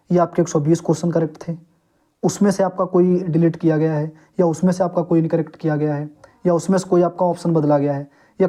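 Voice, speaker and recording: A man's voice: a little high, dark tone. A young man explaining things informally to his viewers, step by step, often checking that they follow. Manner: instructive, repeatedly checks understanding with short tags. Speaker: exam coaching YouTuber.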